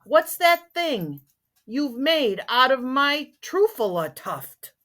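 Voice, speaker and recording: Woman reader as bossy old mossy creature, male, sharp and indignant, slow storybook delivery with big pitch swings. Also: expressive. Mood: outraged. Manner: bossy, scolding.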